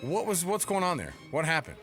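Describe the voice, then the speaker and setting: A man's voice: on the high side, huge pitch swings, fairly quick, fairly bright tone, faint music behind. An American man co-hosting a sports radio show, chatting casually and jokingly in colloquial style from a studio.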